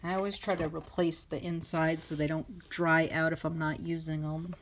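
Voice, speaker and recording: A woman's voice: low-pitched, flat delivery, moderate pace. A woman hosting a casual hands-on craft tutorial, thinking aloud in an informal way as she works at a table indoors. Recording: clearly noisy home recording with clicks.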